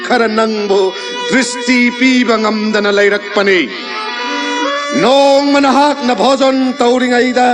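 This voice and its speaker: A man playing a vengeful, cursing god in a mythological audio drama, with a theatrical, drawn-out delivery. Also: extremely high pitch, steady tone. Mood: wrathful, menacing. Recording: studio radio drama with loud music.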